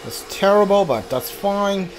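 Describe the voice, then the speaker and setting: Musical-backed male gamer thinking aloud, lively pitch. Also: unhurried, faint music behind. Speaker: game streamer. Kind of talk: live gameplay commentary.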